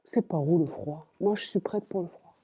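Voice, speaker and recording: A woman's voice: on the low side, moderate pace, dark tone, muffled recording, slight background noise. A woman vlogging playfully to camera about coats she bought, theatrical and giggly as she talks straight to her viewers. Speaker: fashion vlogger.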